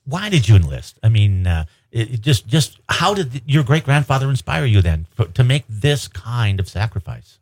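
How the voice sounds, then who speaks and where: Lively halting male radio host. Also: medium pitch. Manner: halting, warm questioning with many fillers and restarts. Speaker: radio talk show host. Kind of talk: hosting a radio panel interview.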